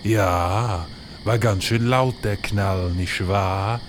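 A man voicing a sluggish, simple provincial husband in a comedy sketch, deadpan and plodding. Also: on the low side, drawn-out words, a little hiss or hum. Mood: dull, placid.

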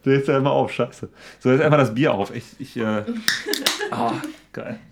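A young man chatting casually as a podcast co-host, speaking in an informal, slangy and halting way. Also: mid-range voice, vocal fry, noisy background. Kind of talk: casual chat among friends.

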